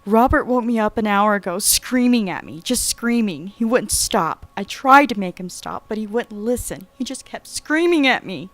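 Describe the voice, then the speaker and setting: A woman's voice: mid-range voice, lively intonation, normal speed, few pauses, fairly bright tone, no room sound, close to an everyday voice. An actress playing a frightened, sick young woman in a horror audio drama, urgent, agitated and pleading. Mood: hysterical panic.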